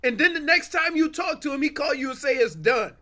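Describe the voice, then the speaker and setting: A man's voice: very high voice, animated, a little fast, sharp tone. A man talking sports as a guest analyst, emphatic and colloquial, often repeating his phrases for weight. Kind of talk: animated sports talk.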